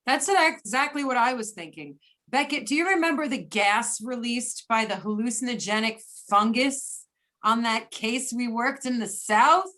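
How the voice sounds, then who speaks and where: A woman's voice: a little high, animated, normal speed, bright, forceful tone. A woman playing a skeptical, level-headed field agent, reading in an amateur readers theater over a video call. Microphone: video or conference call.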